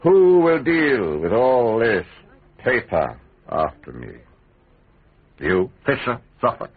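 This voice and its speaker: An actor playing a worldly, domineering old cardinal, goading and imperious, in a radio drama. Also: male voice, mid-range voice, very animated, slow pace, breathy edge, heavily acted. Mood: scornful, weary. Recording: tinny, band-limited radio drama recording.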